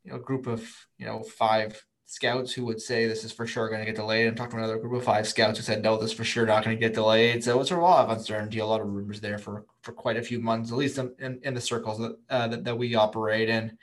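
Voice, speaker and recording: A man's voice: on the low side, little pitch movement, fast talker, few pauses, rough recording. A man speaking as a guest on a podcast, thinking aloud with frequent restarts. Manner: thoughtful, lots of fillers and restarts. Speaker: guest, prospect writer. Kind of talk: casual podcast interview.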